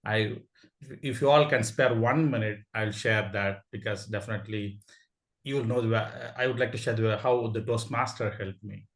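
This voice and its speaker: A man with an Indian accent eagerly sharing a story on a video call, laughing and restarting his sentences. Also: lowish voice. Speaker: club member sharing a story. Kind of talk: casual meeting talk. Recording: echoey room.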